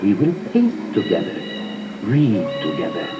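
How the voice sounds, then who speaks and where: A man playing a sickly, melancholy old aristocrat in a gothic audio drama, halting and theatrical, recorded on an old cassette. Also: sits low, wildly swooping pitch, exaggerated voice. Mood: weary welcome. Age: older. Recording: dull old cassette dub with music. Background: music.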